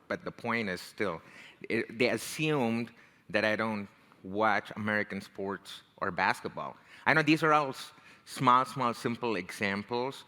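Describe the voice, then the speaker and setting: A man's voice: fairly low pitch, sharp tone, in a large hall. A man from India with an Indian accent, giving a conversational talk and often checking in with "right?" and "you know". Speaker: speaker. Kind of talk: motivational talk.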